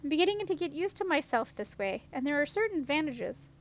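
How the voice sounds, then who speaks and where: A woman's voice: high-pitched, sing-song, fast talker, a little hiss or hum. A woman voicing a polite, thoughtful young prince made of stone, speaking formally in a storybook. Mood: musing. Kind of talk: storybook character reading.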